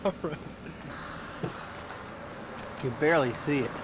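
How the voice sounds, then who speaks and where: A high-voiced man drawls out slow, easygoing comments while filming the trip. Heavy road rumble sits under this home video recording. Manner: slow, laid-back remarks. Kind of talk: casual chat on the road. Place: in and beside a car.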